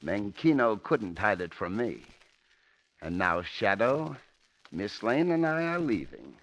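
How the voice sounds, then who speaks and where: A man's voice: lowish voice, very animated, takes it slowly, a bit bright, full character voice. An actor playing a ruthless, cocky gangster with a tough-guy manner in a 1940s radio drama. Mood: gloating, menacing. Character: ruthless, cocky gangster with a gun, boastful man. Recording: muffled old radio recording.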